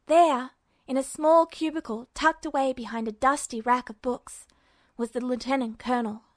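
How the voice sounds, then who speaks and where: A woman reading a fan fiction audiobook with steady, even storytelling. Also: sits high, moderate pace, dry recording. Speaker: podfic reader.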